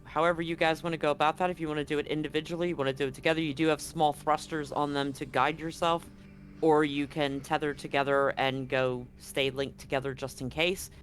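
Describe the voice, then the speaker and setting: A man's voice: a little high, flat delivery, brisk, a bit bright, a little hiss or hum. A man running a tabletop game, explaining scenes informally and directly to his players. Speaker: game master. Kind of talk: improvised tabletop game narration.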